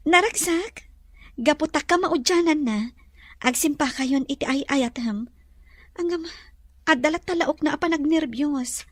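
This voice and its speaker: A woman as a high-voiced, gentle young lady. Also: measured pace, long pauses, no room sound, studio quality. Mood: friendly, teasing. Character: gentle, repentant young lady of the mansion, anxious bride-to-be. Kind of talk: audio drama acting.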